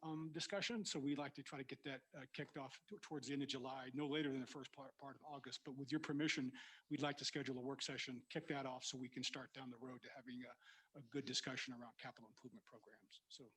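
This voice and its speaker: A man's voice: mid-range voice, fairly even intonation, quick pace, fairly bright tone, slightly rough, rough recording. A man reporting as town manager at a council meeting, speaking politely and deferentially to the mayor. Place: council chamber.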